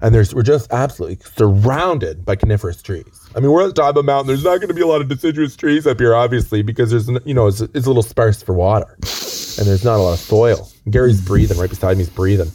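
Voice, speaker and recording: Man, hoarse with a cold, rushed rambling deadpan comic host, low dark voice. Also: a little hiss or hum. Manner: rambling, stammering, earnest. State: raspy from a chest cold. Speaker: comedy co-host. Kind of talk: deadpan improvised comedy chat. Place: outdoors on a lookout.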